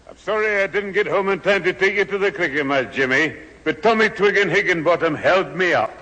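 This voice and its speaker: An older man playing a gruff, proud Scottish grandfather who scolds, in a radio sitcom. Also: high voice, lively intonation, a little fast, pushed and bright, a little hiss or hum. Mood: teasing, gruff. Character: gruff, proud, easily offended old grandfather. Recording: reverberant old radio studio recording.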